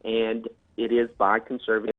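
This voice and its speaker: A man's voice: on the low side, completely flat delivery, normal speed, no room sound. A man who is a guest on a talk show, promoting his products in a hesitant, filler-heavy way. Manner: hesitant, many fillers and restarts. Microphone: phone line. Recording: tinny phone line.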